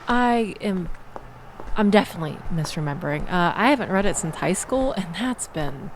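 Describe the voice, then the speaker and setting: Woman acting a chatty, animated, exasperated young woman. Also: lowish voice, normal speed, slight background noise. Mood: self-deprecating, amused. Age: young adult. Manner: rambling, self-deprecating, laughs often, animated storytelling. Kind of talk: audio roleplay, naturalistic casual chat.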